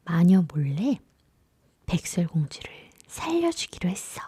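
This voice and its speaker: A woman storyteller narrating a fairy tale in a gentle, soothing, whispery bedtime style. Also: on the low side, sing-song, measured pace, breathy edge, no echo, clean studio sound.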